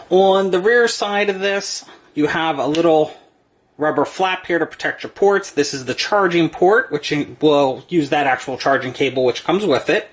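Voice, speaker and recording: A product reviewer speaks in a mid-pitched voice, explaining things plainly. The delivery is unscripted and matter-of-fact, in the manner of a hands-on demonstration.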